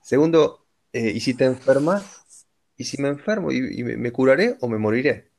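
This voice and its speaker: A man's voice: medium pitch, animated, normal speed, creaky, a little mellow. A man with an Argentine Rioplatense accent, talking reflectively and philosophically in a casual conversation.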